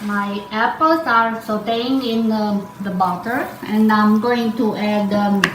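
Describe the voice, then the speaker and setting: Slow-spoken Romanian-accented woman in an echoey kitchen. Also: mid-range voice, steady tone. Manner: unhurried, warm-hearted, with fillers and self-corrections. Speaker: cooking demonstrator. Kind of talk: home cooking demonstration. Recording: echoey kitchen with background noise.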